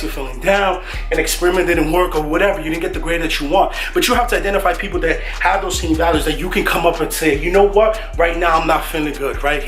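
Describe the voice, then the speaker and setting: A man's voice: fairly high pitch, a bit bright. A young man with a New York accent giving casual, streetwise motivational advice as a channel host. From New York City. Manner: casual, streetwise, says "right?" often. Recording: echoey room with faint music.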